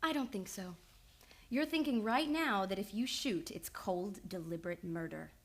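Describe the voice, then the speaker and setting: A woman playing a shrewd young psychoanalyst in an old-time radio drama, speaking in a measured, assured, matter-of-fact way. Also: medium pitch, close to an everyday voice. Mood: steely composure. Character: composed, shrewd young female psychoanalyst confronting a killer.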